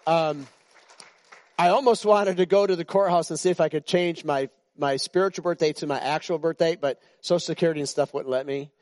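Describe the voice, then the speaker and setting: A pastor in his sixties preaches in a loose, conversational way, joking with his flock and stumbling over restarts. His voice sits a little high for a man. The sound is dry and close, with almost no room echo. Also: steady tone, fairly quick, darkish tone. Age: 60s. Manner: chatty and joking, with frequent restarts. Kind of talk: informal sermon to a congregation. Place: church.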